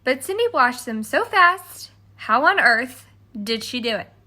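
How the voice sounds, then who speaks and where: A woman's voice: high-pitched, very animated, measured pace, bright, forceful tone, full character voice. A woman reading a children's storybook as a haughty, exasperated stepmother who tells her side with dramatic emphasis. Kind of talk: scripted children's storybook read-aloud.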